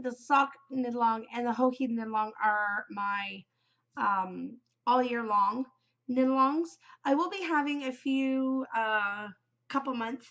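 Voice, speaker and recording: A woman's voice: on the high side, fairly bright tone, highs cut off. A woman hosting a craft podcast, chatting casually with lots of ums, her voice stuffed up and worn from a cold. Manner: rambling, many ums, chatty. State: congested, voice shot from a cold. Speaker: knitting podcast host. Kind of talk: casual solo chat.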